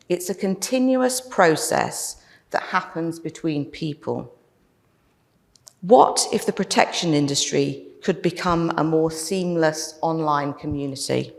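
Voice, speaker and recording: A woman giving a prepared conference talk, measured and slowing down to land her closing points. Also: low-pitched, vocal fry. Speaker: conference speaker.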